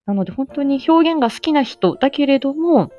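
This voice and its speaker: A woman's voice: sits high, expressive, fairly quick, mellow. A woman hosting a casual live audio stream, chatting and reminiscing in a self-deprecating way with frequent laughter. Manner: self-deprecating, laughs often while talking. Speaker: amateur voice drama actor. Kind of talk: casual live chat, reminiscing and answering listeners. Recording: muffled lo-fi stream with background music. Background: background music.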